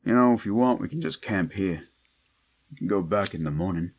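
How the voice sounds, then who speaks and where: A man playing a gruff, wisecracking, unflappable demon paranormal detective in a roleplay audio drama, with a laconic, dry delivery. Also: low voice, lively intonation, fairly quick, heavily acted. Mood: relaxed, reassuring.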